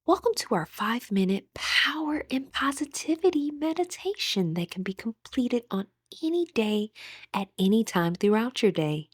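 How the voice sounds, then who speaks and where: A woman leading a guided meditation in a soothing, deliberate way, with breathy, whispery word endings. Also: fairly high pitch, lively intonation.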